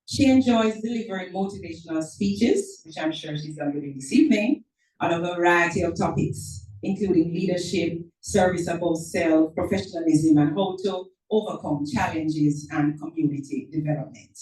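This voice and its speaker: A woman reads a prepared biography at a club meeting, correcting her own small slips as she goes. Her voice is low and mellow. It is picked up from some distance in an echoey room. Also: moderate pace, slight background noise. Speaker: introducer of the guest speaker. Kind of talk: formal introduction speech.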